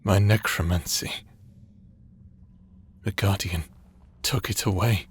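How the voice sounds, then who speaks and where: A man playing an earnest necromancer in a dark fantasy romance audio drama, speaking in a halting, emotional way with heavy pauses. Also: deep voice, fairly even intonation, slight background noise. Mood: stunned, bitter. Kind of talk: dramatic audio roleplay acting.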